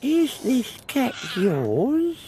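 A woman voicing a frail, humble old gentleman in an animated cartoon. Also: sits high, very slow, crowd noise, heavily acted. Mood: humble, polite.

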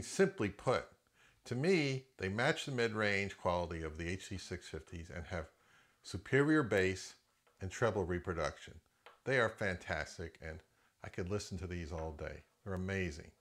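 A middle-aged man with a fairly low voice talks through audio gear in a casual review. He is conversational and freely gives his opinions, as if chatting to viewers. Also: fairly bright tone. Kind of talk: casual product review narration.

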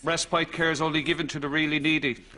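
A man's voice: a little high, little pitch movement, fast, sharp tone. A man playing a firm, sceptical doctor in a sitcom, clipped and matter-of-fact. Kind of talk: sitcom acting, straight man. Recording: noisy studio sitcom sound.

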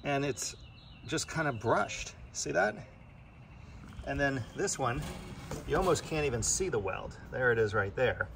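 A man talks plainly and a bit annoyed as he points out flaws in a product he holds up. He speaks at an ordinary middle pitch, filming on a phone out on a wooden deck. Clear outdoor noise runs under his voice. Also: lively intonation, measured pace, pauses often, fairly bright tone. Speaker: product reviewer. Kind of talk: casual handheld product review. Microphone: phone camera in hand.

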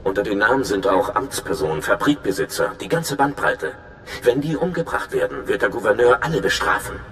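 A man's voice: fairly low pitch, sing-song, a little fast, a bit bright, roomy sound, a little hiss or hum. An actor playing an earnest, conscientious male undercover agent in a video game, speaking in a confiding way. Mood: worried, urgent. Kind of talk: dramatic video game acting.

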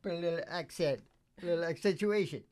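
A man's voice: on the high side, fast, darkish tone. An older man hosts from a small stage, chatting into a microphone between songs. He rambles casually and philosophizes in an irreverent, profane way. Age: older, about 60. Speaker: singer and show host. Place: small dark curtained stage, indoors. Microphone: stage microphone on a stand.

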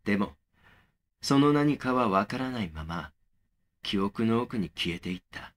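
A man's voice: on the low side, animated, measured pace. A man as brooding young male horror narrator. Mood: brooding, matter-of-fact. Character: young man recounting an eerie memory in horror story. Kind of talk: first-person horror audio drama narration.

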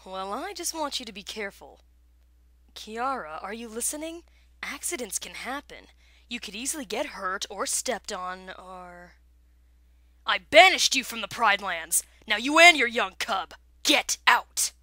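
A woman's voice: a little high, animated, measured pace, pushed and bright, no echo. Woman playing stern, protective lion father. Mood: concerned. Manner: earnest, firm, commanding.

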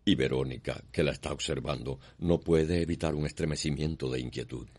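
A man narrating a radio soap in a solemn, measured, poetic manner. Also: a bass voice, darkish tone, no room sound. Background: music.

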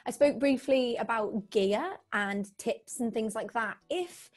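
A woman's voice: fairly high pitch, slow pace, a little mellow. A young British woman hosting an interview over a video call, asking long rambling questions with many fillers. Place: home room indoors. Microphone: video call webcam.

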